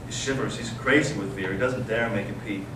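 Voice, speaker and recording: A man's voice: fairly low pitch, brisk, close to an everyday voice. Man acting intense intellectual brother, echoey stage. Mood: grim, intense. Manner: dramatic, swinging from hushed to impassioned. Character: Ivan, intense intellectual elder brother, anguished and mocking. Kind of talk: staged readers theater monologue and storytelling. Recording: echoey stage, distant mic, clear noise.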